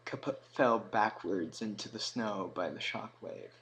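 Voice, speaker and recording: A man narrating a scripted fantasy story in a dramatic manner, with emphatic swells and hushed drops. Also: fairly low pitch, unhurried. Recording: clear background noise.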